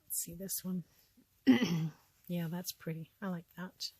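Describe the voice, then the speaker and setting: A woman with a scratchy voice chatting casually as she shows off a thrift haul, often trailing off into quiet asides. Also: on the low side, slow pace, slightly rough, no room sound. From Canada. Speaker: vlogger showing a thrift haul. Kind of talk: casual show-and-tell chat.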